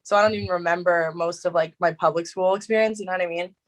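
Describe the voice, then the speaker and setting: A woman's voice: lowish voice, fairly even intonation, quick pace, low-quality recording. Young woman with a Jersey accent. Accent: New Jersey. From New Jersey. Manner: chatty, lots of "like" fillers. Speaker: guest, social media creator. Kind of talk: casual interview chat.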